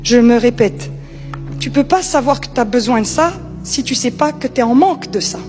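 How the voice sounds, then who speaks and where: A woman's voice: on the high side, animated, normal speed, over music. A woman preacher giving an informal sermon in a conversational, emphatic way, repeating key phrases.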